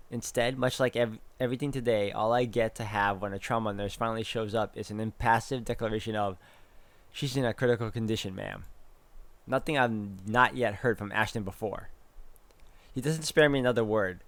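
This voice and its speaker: Guy doing a game playthrough, reads the story narration out loud, medium pitch, throws in comments. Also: dry recording. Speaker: video game walkthrough host. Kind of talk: reading visual novel narration aloud with asides.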